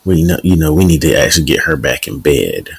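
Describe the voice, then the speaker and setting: A man with a very low, deep voice hosts a podcast in relaxed, casual conversation. He teases his co-host constantly and keeps the banter light and playful. His delivery is loose and chatty. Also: a little fast, creaky, crowd noise. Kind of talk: casual chat.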